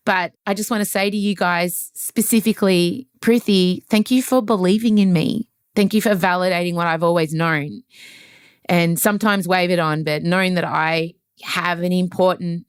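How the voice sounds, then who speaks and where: An excitable woman hosts a podcast in a lively, gushing way, tossing in jokey asides. Her voice sits at a middling pitch and sounds dry and close, with no room around it. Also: smooth, no echo. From Australia. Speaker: podcast host. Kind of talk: casual podcast chat. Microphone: laptop setup.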